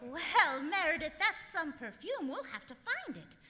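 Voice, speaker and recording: Woman playing a high-pitched scatterbrained perfume salesgirl, echoey hall. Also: big pitch swings, measured pace, bright, forceful tone, a faint crowd, heavily acted. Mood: chirpy. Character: scatterbrained, sweetly mischievous female perfume counter salesclerk. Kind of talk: old-time radio comedy acting. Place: live performance in a museum hall. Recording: hall reverb, audible noise, live stage.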